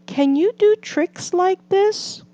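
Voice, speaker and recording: A woman voicing an anxious, excitable male toad in a storybook, with exclaiming, plaintive, pleading delivery. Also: extremely high pitch, slow pace, no room sound, voice pushed up. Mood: eager, showing off.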